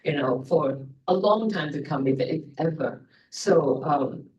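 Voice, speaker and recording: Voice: mid-range voice, animated, a little slow, darkish tone, highs cut off. A conference panelist offering rambling remarks with many fillers and repeated words. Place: conference room. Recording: voice from a distance in the room.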